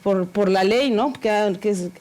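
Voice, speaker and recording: A woman's voice: medium pitch, a little mellow. Nervous woman giving a talk. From Mexico. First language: Spanish. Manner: earnest, halting, many fillers and restarts. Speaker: panel speaker. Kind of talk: academic conference talk.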